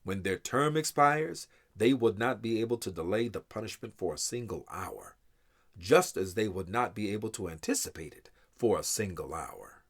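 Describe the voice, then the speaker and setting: A man giving a solemn, measured, reverent reading of scripture in English translation. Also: lowish voice, expressive. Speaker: scripture reader.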